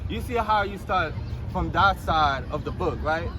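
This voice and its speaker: A man from Haiti in a casual street argument, halting and repeating himself defensively in slangy speech. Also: on the high side, animated, over a noisy crowd. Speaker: passerby debating a street preacher. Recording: very noisy street, traffic behind.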